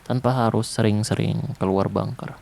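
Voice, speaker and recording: A man voicing a protective ex-special-forces survivor in a zombie apocalypse, talking softly and calmly in an ASMR roleplay. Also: on the low side, creaky, a little hiss or hum. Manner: soft, calm, unhurried, intimate. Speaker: roleplay voice actor.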